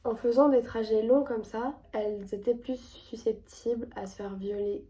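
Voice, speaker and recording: A young woman with a stuffy head cold talks through definitions and figures in a clear, earnest way. Her voice is mellow and fairly high, and it sits a little back from the microphone. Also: recorded from a distance. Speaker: educational video host. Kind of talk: explanatory presentation.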